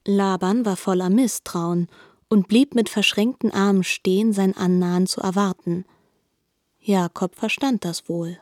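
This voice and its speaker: A woman narrates literary prose for a radio drama. Her voice is of average pitch, recorded dry and close, with no room around it. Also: steady tone, a little slow, a little mellow, no room sound.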